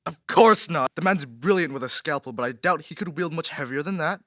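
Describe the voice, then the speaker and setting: A man's voice: fairly high pitch, lively intonation, a little fast, a bit bright, dry recording, studio quality. A man acting a pompous, temperamental aristocratic poet in a period radio drama, theatrical and condescending. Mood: grand, welcoming.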